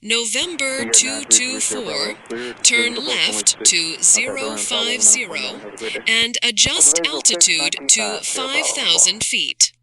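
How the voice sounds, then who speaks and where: A woman's voice: fairly high pitch, big pitch swings, slow pace, vocal fry, a loud crowd, full character voice, poor audio. A woman playing a professional airline pilot on air traffic radio in an audio drama. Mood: crisp, professional. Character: professional airline pilot, rattled by a strange object.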